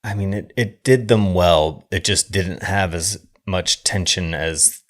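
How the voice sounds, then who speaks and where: A man co-hosting a casual film podcast, talking in a halting, rambling way that often trails off mid-thought. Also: deep voice, a bit bright.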